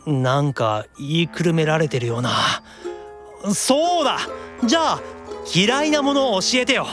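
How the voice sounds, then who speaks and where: A man acting out a sulky, excitable young otaku demon with a petulant, teasing manner in an improvised audio drama. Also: a little high, moderate pace, music in the background, natural voice. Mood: prodding, indignant.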